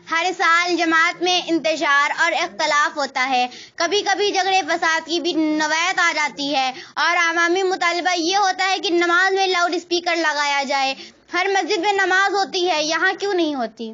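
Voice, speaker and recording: A woman with a very high, bright voice reads a formal religious text aloud. Her delivery is that of someone reciting from a written page. The recording is somewhat dull and lo-fi. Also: fairly even intonation, measured pace. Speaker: reader of religious rulings.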